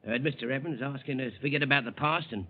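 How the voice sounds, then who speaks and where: An actor playing a dutiful ship's steward in a 1940s radio drama, deferential and factual. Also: male voice, medium pitch, very fast, close to an everyday voice. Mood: earnest. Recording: tinny vintage radio broadcast.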